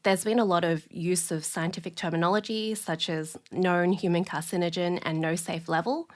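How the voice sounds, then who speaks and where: Female science communicator, slightly low voice, explanatory interview delivery. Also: steady tone, moderate pace.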